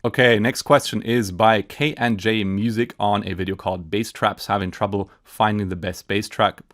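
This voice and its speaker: A man's voice: fairly low pitch, fairly even intonation, normal speed, fairly bright tone. A man in his thirties with a German accent, answering viewer questions about acoustics in a casual, enthusiastic way with plenty of fillers and restarts. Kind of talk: casual explanatory talk. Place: home studio room with acoustic treatment, indoors. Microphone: studio microphone on a stand in front.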